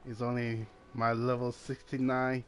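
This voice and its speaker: A man's voice: mid-range voice, monotone, measured pace, faint music behind. A man giving casual gameplay commentary with playful, mock-dramatic asides. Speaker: gaming video host. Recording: clearly noisy home recording.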